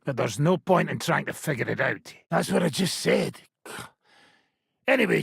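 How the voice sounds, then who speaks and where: A gruff medieval mercenary leader for a video game. His voice is extremely husky and full of gravel, and it swings widely in pitch. He is blunt, sardonic and swears freely, like a soldier hardened by many battles. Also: a bit bright, no echo, studio quality, heavily acted. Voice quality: raspy, gravelly. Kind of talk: video game character dialogue.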